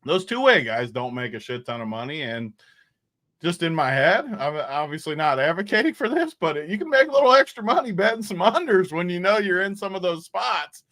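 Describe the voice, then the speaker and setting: A man's voice: high voice, expressive, fairly quick, pushed and bright. A man co-hosting a sports betting show, chatting casually and laughing often.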